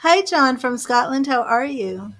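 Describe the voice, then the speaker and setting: A woman's voice: high-pitched, animated, a little slow, a bit bright. A woman giving live tarot readings, chatting to viewers by name and musing over the cards. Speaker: tarot reader.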